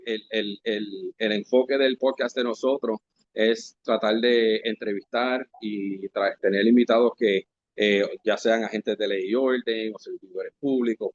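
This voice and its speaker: A man's voice: on the low side, dry recording. Chatty man hosting a podcast. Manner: conversational, halting, full of fillers and restarts. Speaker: podcast host. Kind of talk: casual interview hosting. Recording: dry, slightly dull podcast audio.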